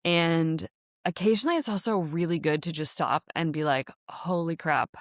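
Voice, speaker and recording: A woman's voice: sits low, normal speed, no room sound, studio quality. A woman whose first language is Dutch, raised in the United States, hosting a podcast in a chatty, rambling solo talk full of fillers and light laughs. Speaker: podcast host and startup founder. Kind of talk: casual solo podcast monologue. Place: podcast studio in an office space.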